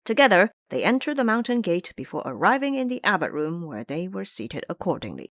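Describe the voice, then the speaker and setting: A woman narrating a scripted audio drama in an expressive, theatrical storytelling manner. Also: fairly low pitch, a little fast, no echo, clean studio sound.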